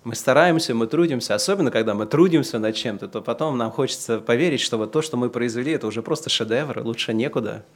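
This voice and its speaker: A man preaches in a middle-range voice, and he draws out his key phrases slowly and loudly. Between those high points he drops into a softer, chatty tone and talks straight to his listeners. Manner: swings from slow, loud emphatic preaching to quieter conversational asides. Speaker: pastor. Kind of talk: sermon.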